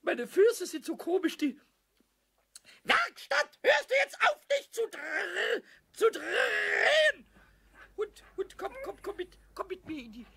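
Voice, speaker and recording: A man playing a mischievous, childlike kobold in a children's radio play, with a squawky, creaky voice, stammering, repeating himself and slipping into sing-song rhymes. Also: extremely high pitch, big pitch swings, slow, bright, forceful tone, heavily acted. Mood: dizzy, complaining. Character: mischievous, childlike little male kobold, tipsy and excitable.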